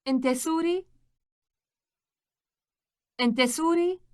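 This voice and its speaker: A woman from Syria with a Syrian Arabic accent speaking model phrases for a language tape in a crisp delivery. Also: high voice, normal speed.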